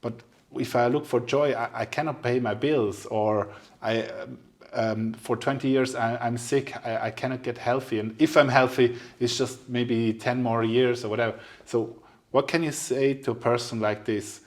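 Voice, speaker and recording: A man in his forties hosts a conversational podcast in a medium-pitched voice. He speaks hesitantly, feeling for the right words, and his phrasing is that of a non-native speaker. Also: fairly even intonation, moderate pace. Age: 40s. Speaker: podcast host. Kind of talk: casual interview conversation.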